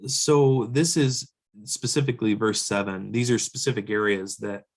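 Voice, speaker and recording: A man with a somewhat low-pitched, slightly hoarse voice, speaking as a pastor in a sermon. He works through the text verse by verse, reading scripture aloud and then explaining it in a measured, thoughtful way. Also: fairly even intonation. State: hoarse, losing his voice.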